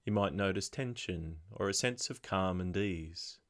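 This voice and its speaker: A man slowly guiding a body scan meditation in a soft, calm voice. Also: sits low, dry recording, clean studio sound. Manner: slow, soft, calm instructions. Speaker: meditation guide. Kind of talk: guided meditation.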